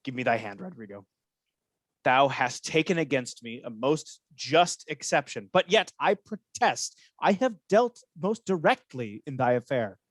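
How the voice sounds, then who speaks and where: A man playing a smooth-talking, scheming soldier in a Shakespearean radio play, persuasive and placating. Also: medium pitch, lively intonation, a little slow, no echo.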